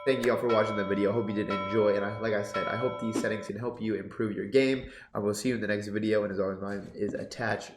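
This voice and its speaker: A man's voice: fairly low pitch, fast, hardly any pauses, roomy sound, music in the background. A young man with a congested voice, casually talking through his game settings and commentating gameplay on a headset mic. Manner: laid-back, excitable bursts during play. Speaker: competitive gaming YouTuber. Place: home gaming setup. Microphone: headset with boom mic.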